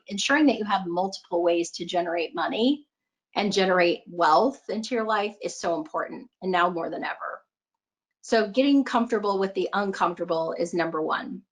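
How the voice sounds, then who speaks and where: A woman's voice: mid-range voice, low-quality recording. Earnest woman coach giving a motivational talk. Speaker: money mindset coach presenting a talk. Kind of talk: motivational webinar talk, conversational.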